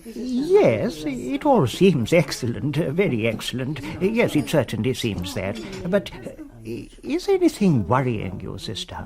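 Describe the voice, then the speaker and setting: A man's voice: fairly high pitch, huge pitch swings, creaky, crowd noise, full character voice. An actor playing a kind, hesitant priest who halts and stammers as he voices his worry. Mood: hesitant, concerned. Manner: hesitant, halting, full of uh's. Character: kindly, hesitant older priest.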